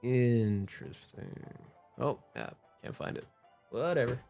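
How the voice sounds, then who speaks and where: A man's voice: medium pitch, expressive, unhurried, vocal fry, darkish tone. A young man doing casual, slangy live game commentary in a playful, joking manner. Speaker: game streamer. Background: game background music.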